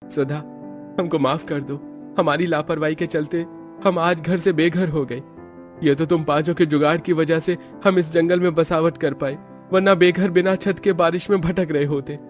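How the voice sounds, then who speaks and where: A man's voice: fairly high pitch, steady tone, moderate pace, soft background music, lightly acted. A man voicing an earnest village husband in a cartoon story. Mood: remorseful. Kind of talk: Hindi cartoon story dubbing.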